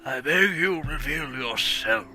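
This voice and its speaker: A man's voice: medium pitch, lively intonation, takes it slowly, full character voice. A man acting a noble, desperate dragon guardian in a grave, theatrical dramatic reading. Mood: pleading. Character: noble, pleading male fire dragon guardian, desperate but proud. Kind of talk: dramatic comedic script reading. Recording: loud cinematic music behind.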